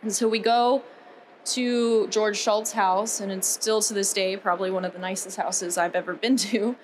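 A young woman with an American accent speaks on stage in a conference keynote style, telling her story conversationally. Her pitch is average for a woman, and she halts and repeats words at times. There is faint ambient noise behind her voice. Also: fairly even intonation, moderate pace, low-quality recording. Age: young adult. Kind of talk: conference talk, personal storytelling.

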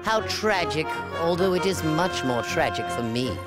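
A man's voice: fairly high pitch, lively intonation, normal speed, lightly acted. A man voicing a haughty, menacing alien tyrant in a cartoon dub, with a theatrical, grandiose and contemptuous delivery. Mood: mocking. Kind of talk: animated series dub acting. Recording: loud music over dialogue.

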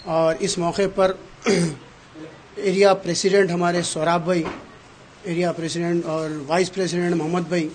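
Middle-aged man, high voice. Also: flat delivery, normal speed, rough recording. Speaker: local party vice president. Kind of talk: on-camera statement at a shop opening. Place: small crowded salon indoors. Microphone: handheld mic. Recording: light background noise.

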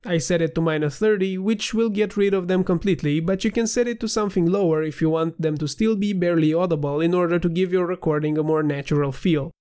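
Male tutorial narrator, a touch nasal, higher pitch, clear and steady. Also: fast, few pauses. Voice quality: slightly nasal. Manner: clear, steady instructional delivery. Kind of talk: scripted screen-recording tutorial voiceover.

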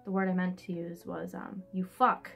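A woman's voice: lowish voice, little pitch movement, fairly quick, gravelly, darkish tone, breathy edge. A young woman giving a casual, sarcastic book recap with theatrical read-aloud bits, swearing freely. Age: young adult. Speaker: book reviewer, host. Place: indoors, small room in front of a hung sheet. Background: classical music.

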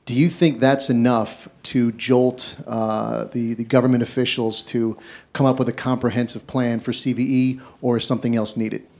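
A man's voice: medium pitch, flat delivery, normal speed, gravelly, a little mellow. A man in the audience of a conference, asking a polite, formal question into a handheld microphone. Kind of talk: question from the floor.

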